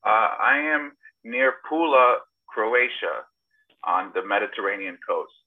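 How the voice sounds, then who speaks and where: Over a thin, phone-like internet call, a middle-aged man speaks in a slightly low voice. He is a podcast guest telling casual anecdotes about himself. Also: animated, a little slow. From born in Serbia, grew up partly in Toronto, Canada. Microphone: video call.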